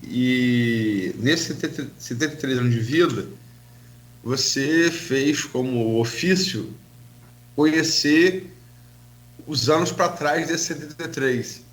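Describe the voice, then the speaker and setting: A man's voice: medium pitch, slow pace. A man with a Brazilian Portuguese accent hosting a radio interview, asking his questions courteously in a conversational way. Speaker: radio host.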